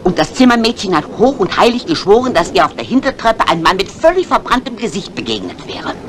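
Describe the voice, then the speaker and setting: An actress playing a talkative, excitable maid with dramatic delivery in a 1950s German radio mystery. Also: female voice, medium pitch, a little fast, natural voice. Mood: eager, boastful. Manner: dramatic, eager storytelling. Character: talkative, excitable lady's maid, boastful yet easily frightened. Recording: noisy old 1950s radio recording.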